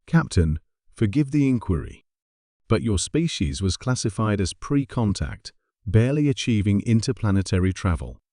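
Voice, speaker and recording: Man voicing a shaken crystalline alien envoy, mellow. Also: fairly low pitch, very animated, moderate pace, no echo, studio quality. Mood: wary disbelief. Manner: formal, disbelieving.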